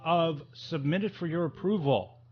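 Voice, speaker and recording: A man's voice: on the high side, a little slow, dull audio. A man hosting a podcast in a loose, joking, unscripted way, rambling through his thoughts. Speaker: podcast host. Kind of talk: casual, unscripted comic chat. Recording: clearly noisy background.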